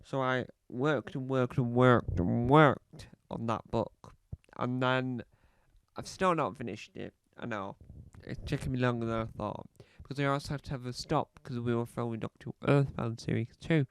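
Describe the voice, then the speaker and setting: Man plays a pompous podcaster with a cough, halting and repetitive, dry close mic. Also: mid-range voice, smooth, no room sound, natural voice. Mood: rambling. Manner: rambling, halting, fond of repeating phrases. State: slight cough. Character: self-important male celebrity podcaster, rambling. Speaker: comedy podcast host character. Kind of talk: scripted comedy monologue.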